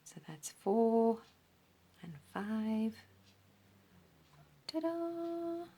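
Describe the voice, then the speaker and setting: Slow croaky woman teaching crochet. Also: mid-range voice, a little mellow. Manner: drops to a breathy half-whisper, counts stitches aloud. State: losing her voice, croaky. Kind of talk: step-by-step craft tutorial.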